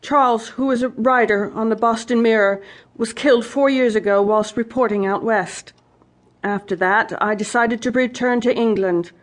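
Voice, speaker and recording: A woman's voice: fairly high pitch, normal speed, close to an everyday voice. A woman playing an anxious, refined widowed mother in a radio drama, telling her story earnestly.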